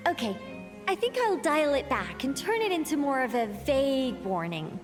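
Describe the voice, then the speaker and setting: A woman's voice: high voice, sing-song, moderate pace, music in the background. An actress playing a theatrical, earnest young woman storyteller in a video game, formal in diction and fussy about her tale. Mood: musing.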